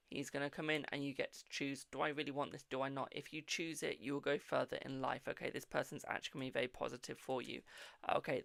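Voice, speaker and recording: Gravelly-voiced man reading tarot cards. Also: on the high side, fairly bright tone, dry recording. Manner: chatty and reassuring, calls viewers "my darling". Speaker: tarot reader hosting a live stream. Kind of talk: live-stream card reading, answering viewer questions.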